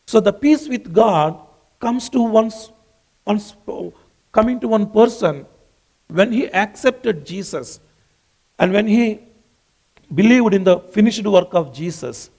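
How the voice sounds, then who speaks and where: A man's voice: sits high, expressive, slow, pauses often, a little mellow, poor audio. A man whose first language is not English, preaching a sermon earnestly and repeating phrases for emphasis. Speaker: pastor.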